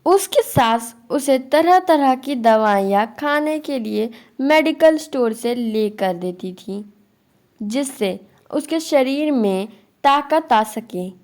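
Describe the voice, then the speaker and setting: A woman's voice: high voice, animated, slow, darkish tone. A woman narrating a scripted moral story for children.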